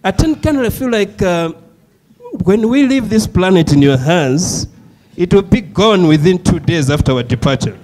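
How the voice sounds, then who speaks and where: A man's voice: sits high, animated, moderate pace, a little mellow. A man moderating a panel discussion, pressing speakers with teasing, provocative questions. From Southern Africa.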